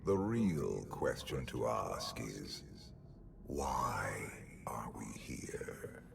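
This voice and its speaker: Very deep, slow, gravelly sinister emperor. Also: male voice, very animated, noisy background, full character voice. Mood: ominous, measured. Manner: slow, deliberate, ominous. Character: ancient ghostly male emperor, imperious, manipulative, patient.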